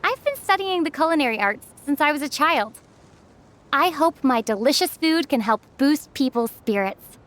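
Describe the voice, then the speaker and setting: A woman's voice: high-pitched, animated, normal speed, fairly bright tone, pitched above the performer's own voice. A woman voicing an earnest young female cook.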